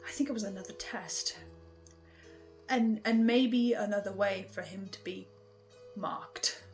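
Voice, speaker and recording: A woman hosting a recap, delivering a dramatic investigative monologue in a pensive way with deliberate pauses. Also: medium pitch, a little mellow. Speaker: host of a fan recap series. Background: music.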